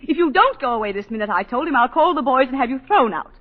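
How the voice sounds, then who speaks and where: An actress playing a self-possessed, indignant planter's wife in a 1940s radio drama, telling her story in a halting, theatrical, emotive way. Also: sits high, lively intonation. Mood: agitated. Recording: dull 1940s radio broadcast, long reverb.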